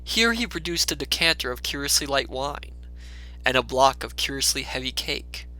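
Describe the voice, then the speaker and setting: A man narrating a classic storybook in a theatrical style, with deliberate, dramatic phrasing. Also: mid-range voice, lively intonation, sharp tone, low-quality recording.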